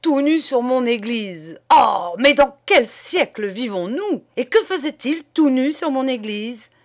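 A woman's voice: medium pitch, animated, slow, pitched above the performer's own voice. A woman voicing an agitated old male parish priest who preaches hellfire and sputters with outrage. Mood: outraged.

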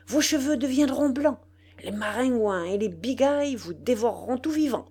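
Woman as a villainous male dwarf sorcerer, vengeful, imperious, slow emphatic delivery, storybook drama. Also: medium pitch, sing-song, a little mellow. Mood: furious, cursing. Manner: theatrical, emphatic, cursing with Spanish words mixed in.

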